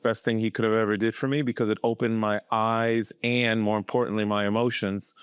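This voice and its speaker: A man in his fifties from Boston, telling his life story as a podcast guest in a reflective, measured way. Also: fairly low pitch, flat delivery, clear, resonant tone, no room sound, clean studio sound. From Boston, USA. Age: 50s. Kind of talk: casual conversational interview.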